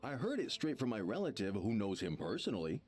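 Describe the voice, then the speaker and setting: A man's voice: lowish voice, sing-song, brisk, a little mellow, breathy edge, faint music behind. A man voicing a smug, gossipy true believer in an anime game, condescending and emphatic. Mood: confiding, insistent.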